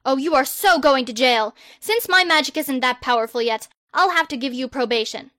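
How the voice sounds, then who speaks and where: A woman's voice: sits high, moderate pace, pushed and bright, no echo, clean studio sound. A woman voicing a pompous, self-proclaimed boy wizard hero who makes grandiose, bossy proclamations.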